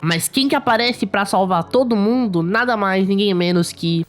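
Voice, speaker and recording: A woman's voice: medium pitch, moderate pace. A young adult woman with a Brazilian accent narrating a horror story recap in an informal storytelling manner. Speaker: video narrator.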